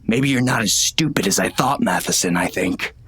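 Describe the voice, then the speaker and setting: An actor playing a hard, commanding western gang boss, stern and clipped, in an audiobook. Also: male voice, lowish voice, expressive, normal speed, a bit bright, breathy edge, heavily acted. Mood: cold, menacing. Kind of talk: first-person western audiobook narration and dialogue.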